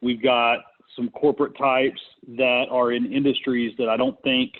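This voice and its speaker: A man's voice: medium pitch, level tone, normal speed. Man on a narrow, phone-like conference line. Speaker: conference call host, real estate investor. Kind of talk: casual unscripted business talk. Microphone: conference call connection.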